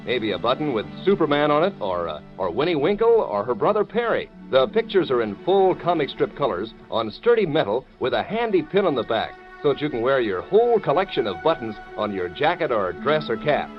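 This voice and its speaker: Animated vintage radio pitchman. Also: brisk, hardly any pauses. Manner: folksy, eager salesmanship. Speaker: radio announcer reading sponsor ad. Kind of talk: 1940s radio commercial pitch to children. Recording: dull old radio recording, faint music.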